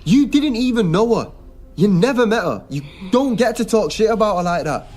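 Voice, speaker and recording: A man's voice: sits high, expressive, moderate pace, darkish tone. A young man playing a defiant, hurt teenage son in a radio drama, blunt and argumentative. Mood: angry, accusing. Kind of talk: naturalistic radio drama acting.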